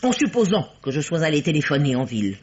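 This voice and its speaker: An actress playing a middle-aged, bossy and protective elder sister in an old radio mystery, with theatrical, emphatic delivery. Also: female voice, sits low, big pitch swings. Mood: argumentative, assured. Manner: theatrical, emphatic, quick to argue. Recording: dull, lo-fi vintage radio recording.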